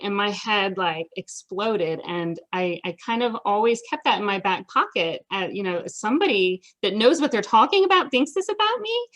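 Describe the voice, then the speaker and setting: A middle-aged American woman speaks at a medium pitch as a guest author. She is chatty and enthusiastic, telling personal stories in a casual, conversational way. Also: a bit bright. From United States. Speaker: interviewed children's author.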